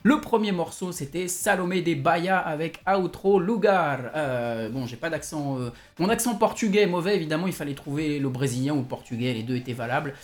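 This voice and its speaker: A man's voice: a little high, fairly quick, few pauses. A man hosting a music quiz as a DJ, chatting casually and playfully, rambling and correcting himself as he goes. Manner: chatty, rambling, many restarts and self-corrections; playful, jokey asides. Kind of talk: casual live music show chat.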